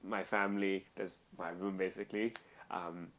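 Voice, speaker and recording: Low-voiced young man from Hong Kong. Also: level tone, moderate pace, fairly bright tone. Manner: agreeable, chimes in to finish the other speaker's sentences. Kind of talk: casual conversation.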